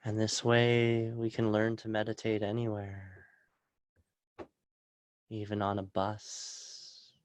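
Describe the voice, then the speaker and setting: A calm, soft-spoken man teaching meditation, guiding a session and then answering questions from an online group. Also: on the low side, slow, pauses often, dry recording. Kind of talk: guided meditation and live Q&A webinar. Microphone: video call.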